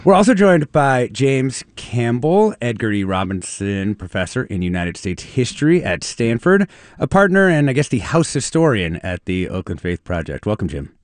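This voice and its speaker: A man hosting a radio talk show, introducing guests in an animated, emphatic way. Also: medium pitch, normal speed, no echo. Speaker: radio host.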